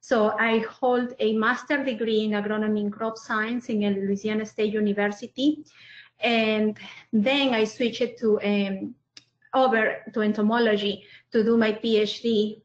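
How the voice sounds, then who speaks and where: Hesitant woman from Peru, flat voice. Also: medium pitch. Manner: hesitant, many fillers. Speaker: panelist. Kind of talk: self-introduction on a webinar. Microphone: video call. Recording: muffled audio in a roomy space.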